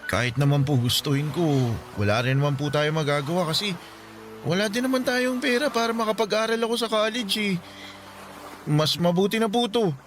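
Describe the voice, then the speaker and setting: An actor as a dutiful teenage boy in a radio drama, his voice pitched high for a male. He speaks politely and hesitantly, sounding anxious and earnest over faint music. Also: brisk, close to an everyday voice. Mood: resigned, earnest. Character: dutiful, anxious teenage child of a poor family. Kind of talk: Filipino radio drama acting.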